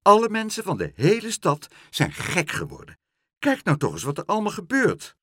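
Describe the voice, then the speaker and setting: A man voicing a fussy, indignant woman in a children's storybook, snappish and shrill as she nags and complains. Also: huge pitch swings, normal speed, dry recording, studio quality, exaggerated voice. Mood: alarmed. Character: fussy, indignant, scolding woman, easily outraged.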